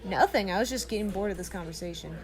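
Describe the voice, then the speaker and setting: A woman's voice: medium pitch, lively intonation, quick pace, crowd noise, lightly acted. A woman playing a brash, nosy, foul-mouthed space biker in an audio drama, blunt and irreverent. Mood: bored, needling. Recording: music under the voice.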